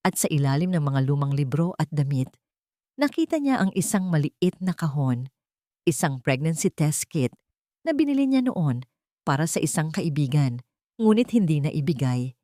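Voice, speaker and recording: A woman's voice: deep voice, big pitch swings, moderate pace, warm tone, no room sound, clean studio sound. A woman narrating a scripted dramatic story.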